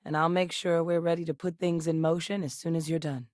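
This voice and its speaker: A woman playing a seasoned ex-military scientist in a video game, steady and earnest, with a measured, serious delivery. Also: deep voice, no room sound, studio quality, lightly acted. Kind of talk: video game character voice lines.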